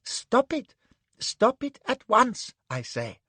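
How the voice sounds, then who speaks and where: A squeaky panicky eunuch, squealing and close to crying, high and breathy. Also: male voice, expressive, slow pace, a bit bright, no echo, exaggerated voice. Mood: frantic pleading. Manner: squealing, outraged, near tears. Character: shrill frantic man, a eunuch.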